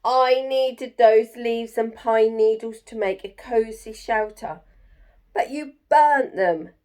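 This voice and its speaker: A woman's voice: a little high, slow, darkish tone. Woman as a snappish, aggrieved storybook porcupine. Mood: angry, aggrieved. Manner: snappish, emphatic complaining. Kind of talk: children's storybook reading.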